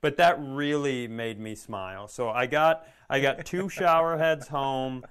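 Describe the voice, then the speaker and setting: Clear-voiced man telling sarcastic comic stories. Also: mid-range voice, measured pace, a bit bright. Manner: sarcastic, swearing, acts out dialogue. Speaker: comedy podcast co-host. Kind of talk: comedic storytelling in casual chat.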